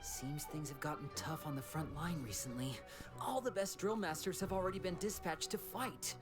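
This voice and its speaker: A woman's voice: sits low, moderate pace, over music, natural voice. A woman playing an earnest, hesitant young army trainee in an anime fantasy game, easily discouraged and respectful. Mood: dejected.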